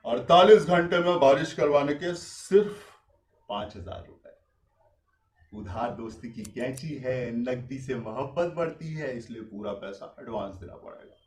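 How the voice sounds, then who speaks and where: A man's voice: medium pitch, animated, measured pace, reverberant, natural voice. A man acting a whimsical, sweet-talking drifter who sells rain in theatrical, rhyming monologue. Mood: wily, persuasive. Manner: theatrical, rhyming, swinging from grand declamation to hushed musing. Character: whimsical, flamboyant male rainmaker drifter, poetic sweet-talking salesman. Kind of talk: dramatic monologue performance.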